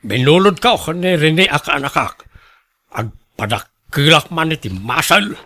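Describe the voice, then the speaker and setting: A man acts out an older father in a radio drama, indignant and protective. His voice is high and sharp, swinging widely in pitch as he presses each point with emphatic insistence. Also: sing-song, measured pace, heavily acted. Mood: determined, indignant.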